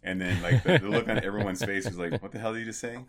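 A man co-hosting a podcast, telling stories in an animated, jokey way. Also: on the low side, fast. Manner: animated, jokey anecdote teller. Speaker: podcast co-host. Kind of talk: casual chat.

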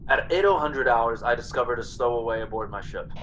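A man acting in a radio play as a weary, conflicted spaceship pilot, gruff but soft-hearted, with a hard-boiled delivery. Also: mid-range voice, lively intonation, fairly quick, a little hiss or hum, close to an everyday voice, poor audio. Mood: troubled, pleading. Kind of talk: radio play acting with first-person narration.

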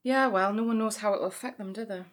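A woman's voice: medium pitch, quick pace, natural voice. An actress playing a practical, self-controlled grieving sister and mother in a naturalistic audio drama. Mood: pained, restrained. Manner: understated, dry humour. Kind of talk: naturalistic audio drama acting, comedy and pathos.

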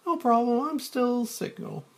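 Breathless earnest young woman in her thirties, falsetto chatter, quick and eager, put on by a male reader.

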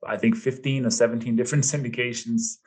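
Danish-accented man in his fifties, podcast guest, relaxed storyteller with a mid-range voice. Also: medium pitch, fast talker. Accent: slight Danish. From Denmark. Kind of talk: casual interview chat.

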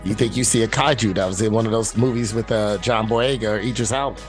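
A man co-hosting a podcast, hoarse from the flu, rambling and swearing as he tells stories. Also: lowish voice, steady tone, fast talker, over music. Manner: rambling storyteller, profane. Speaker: podcast co-host. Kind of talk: casual podcast banter.

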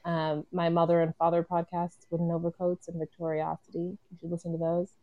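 A woman's voice: lowish voice, completely flat delivery, fairly quick, no echo, narrow, tinny audio. A woman panelist chatting casually in a wry, self-deprecating way. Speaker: actor and podcaster, panelist.

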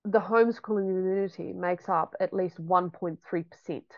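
A woman's voice: lowish voice, steady tone, normal speed, no room sound, lo-fi sound. A woman, a homeschooling mother chatting reflectively in an interview with frequent ums. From New Zealand. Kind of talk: casual interview chat.

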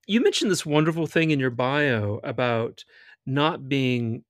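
A man's voice: mid-range voice, expressive, unhurried, no echo. Man, American accent, podcast host, teasing, rambling, dry close mic. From United States. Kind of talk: casual interview chat.

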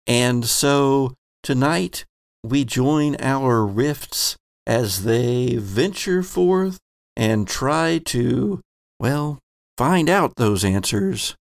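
A man hosting a tabletop game podcast, introducing and recapping the story as game master with theatrical, deliberate phrasing. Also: medium pitch, expressive, creaky, no echo, clean studio sound.

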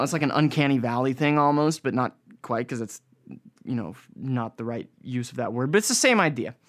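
American man, young adult, slightly high voice, podcast host, casual solo chat, rambling, informal, self-deprecating. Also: a bit bright. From United States.

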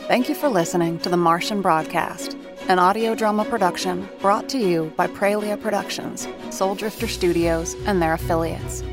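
A woman's voice: lowish voice, moderate pace. Woman reading credits over music. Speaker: credits announcer. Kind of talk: scripted credits narration.

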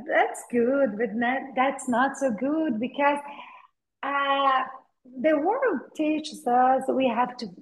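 A middle-aged Brazilian woman with a Portuguese accent, speaking English as a second language, talking as an interview guest and pausing often with "uh" and repeated words. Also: sits high, a little hiss or hum, poor audio. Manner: hesitant, with frequent "uh" pauses and repeated words. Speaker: guest, attorney and novelist. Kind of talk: conversational interview.

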